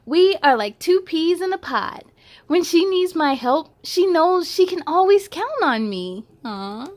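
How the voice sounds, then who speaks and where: A woman reading a picture book aloud to children in an animated, playful way, adding chatty little asides. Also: very high-pitched, a little slow. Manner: playful, giggly, animated storytelling delivery.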